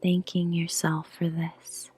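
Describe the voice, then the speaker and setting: A woman guides a meditation almost entirely in a whisper. Her voice is deeply breathy and husky, sitting low and nearly flat in pitch. She takes her time, unhurried, with long gentle pauses. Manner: soft whispered delivery; slow, soothing pacing with long pauses.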